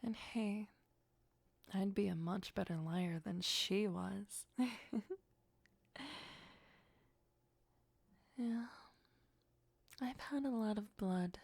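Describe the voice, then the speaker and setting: A woman playing a possessive, sweetly obsessive vampire girlfriend in an ASMR roleplay, mostly whispering in a breathy, intimate, doting way. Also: mid-range voice, slow, long pauses, no room sound. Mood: playful, wry.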